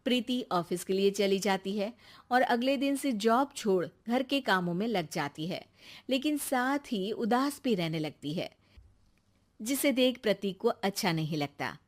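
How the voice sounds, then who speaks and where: A woman's voice: medium pitch, lively intonation, measured pace. A woman reading scripted narration for a family moral story.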